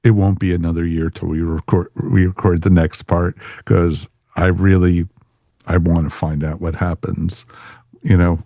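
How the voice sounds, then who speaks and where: Low-voiced man hosting a casual podcast. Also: level tone, normal speed, gravelly, warm tone, no room sound, clean studio sound. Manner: chatty, informal. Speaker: podcast host.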